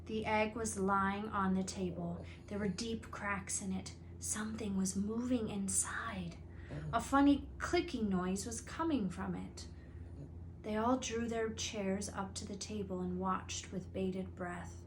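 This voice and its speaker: A woman reading a storybook aloud as a teacher, in an expressive narrating manner. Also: medium pitch, unhurried, a little hiss or hum.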